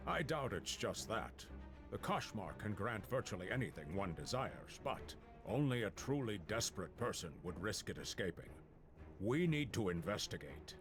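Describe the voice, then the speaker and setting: Stern gravelly monster hunter boss in a video game, very serious, lively pitch, music behind. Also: male voice. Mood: grave, measured. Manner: measured, authoritative, serious. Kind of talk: dramatic video game voice acting. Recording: music under the voice.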